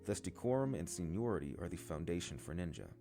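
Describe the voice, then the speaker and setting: A cocky older schoolboy bully, acted out by a male reader in a storybook tale. He is low-pitched and lively, taunting and condescending in slangy phrases. Guitar music plays behind him. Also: fast. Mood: menacing condescension. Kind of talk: storybook character dialogue.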